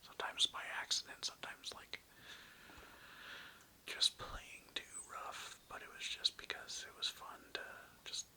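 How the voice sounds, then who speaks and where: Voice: a little slow, pushed and bright. A young adult whispering an informal, rambling ASMR toy show-and-tell close to the mic. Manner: rambling, informal, occasional swearing. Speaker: content creator showing a toy collection.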